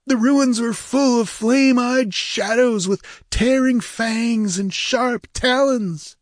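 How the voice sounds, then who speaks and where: A man's voice: extremely high pitch, slow, no echo, studio quality, pitched above the performer's own voice. A man voicing a battered, fearful pirate rogue in a fantasy audiobook, whining and pleading. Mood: whining, terrified. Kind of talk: dramatic fantasy audiobook character.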